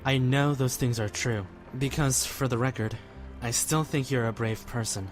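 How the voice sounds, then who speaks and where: A man's voice: medium pitch, normal speed, close to an everyday voice. A young man playing a kind, idealistic youth in an audio drama, speaking earnestly and reassuringly. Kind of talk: fan audio drama acting. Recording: music bed behind the voice.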